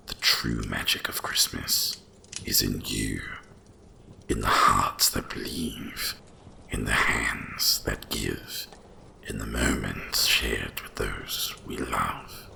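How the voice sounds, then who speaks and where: A man voicing an ancient, wise dragon guardian of winter in a slow, solemn, gravelly whisper for an ASMR roleplay. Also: bright, forceful tone, slight background noise, exaggerated voice. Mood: warm, reverent. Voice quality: deep gravelly breathy whisper. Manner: slow, solemn, deliberate delivery.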